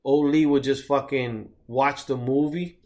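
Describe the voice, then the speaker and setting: A man's voice: mid-range voice, steady tone, a little slow, a little mellow. A man in his thirties co-hosting a podcast, telling laid-back stories in casual chat and swearing now and then. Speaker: podcast co-host.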